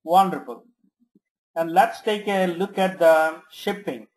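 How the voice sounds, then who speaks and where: Software trainer for screen tutorial: unhurried, slow delivery, short instructional commands with frequent check-ins. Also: mid-range voice. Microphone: screen-recording voice-over.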